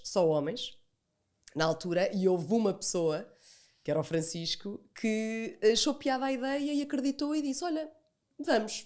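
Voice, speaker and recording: A woman's voice: fairly low pitch, a little mellow. A woman with a European Portuguese accent, telling stories as an interview guest, chatty, acting out remembered dialogue and repeating phrases for emphasis. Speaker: podcast guest, entrepreneur. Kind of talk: animated storytelling in an interview.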